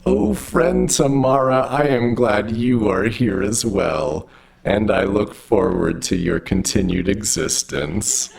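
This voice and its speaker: A man playing an overly friendly robot in an audio drama, speaking in stiff, formal phrases with a gravelly voice. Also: lowish voice, slow, a little mellow, full character voice, low-quality recording. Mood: politely devoted. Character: overly friendly robot assistant with unsettling devotion.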